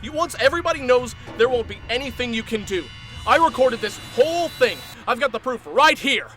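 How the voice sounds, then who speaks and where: A man's voice: extremely high pitch, expressive, fairly quick, soft background music. An actor playing a paranoid young man who is sure his friends are not human, agitated and insistent in an audio drama. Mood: frantic. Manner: agitated and insistent, turning hushed and confiding. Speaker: lead character. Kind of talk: amateur audio drama acting.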